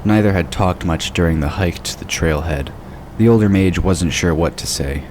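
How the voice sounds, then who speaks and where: A man's voice: normal speed, darkish tone. A man giving scripted narration for a fantasy audio drama. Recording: clearly noisy, sound effects behind. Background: rumbling ambience and sound effects.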